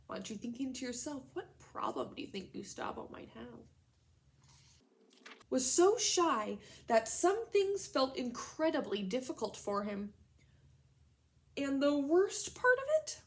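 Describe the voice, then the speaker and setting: A woman's voice: high-pitched, a little hiss or hum. A woman teacher leading a read-aloud lesson for young children, speaking in simple, instructional phrases and questions. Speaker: elementary school teacher.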